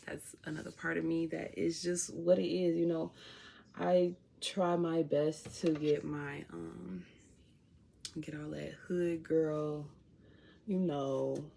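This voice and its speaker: A woman's voice: sits low, gravelly, warm tone, a little hiss or hum. A young woman from Chicago with a Chicago accent, chatting casually and laid-back to her viewers at home. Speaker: vlogger. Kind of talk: casual chat to camera.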